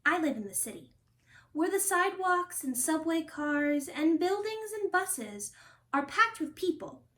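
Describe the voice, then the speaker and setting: A woman reads a picture book aloud for children. Her voice sits high and moves in very lively melodic swings. She phrases each line expressively and deliberately, as a storytime host does.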